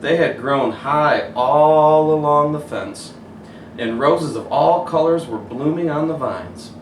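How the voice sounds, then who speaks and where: A man reading a children's story aloud as a teacher, in an animated read-aloud style, stopping to point out pictures. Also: on the high side, slow pace. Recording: roomy echo, distant mic, faint noise.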